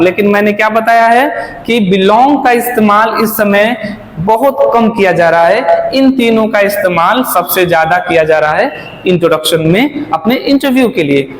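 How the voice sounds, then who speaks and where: A man with a high voice teaches a class, pacing his explanations and slowing sharply whenever he reads an example sentence. His voice rings through a roomy, reverberant classroom with a faint hum of background noise, and at times he drifts away from the mic. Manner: slows down sharply to read model English sentences; drills students with repeated questions. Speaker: spoken English teacher. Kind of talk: classroom lesson explaining in Hindi.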